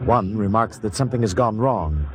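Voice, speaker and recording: A man's voice: fairly low pitch, lively intonation, normal speed, close to an everyday voice. A man narrating a radio drama in a terse, detached, sober manner. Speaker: narrator and interpreter. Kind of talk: scripted present-tense radio drama narration. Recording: noisy, with clicks.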